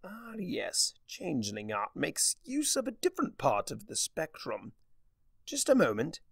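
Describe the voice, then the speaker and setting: A smug male trickster spirit from a dark fantasy story, as performed by a man, relishing every explanation. The delivery swoops widely in pitch with a mocking drawl and gravelly creak, recorded close and dry. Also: wildly swooping pitch, unhurried, no room sound, full character voice. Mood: smug, showy.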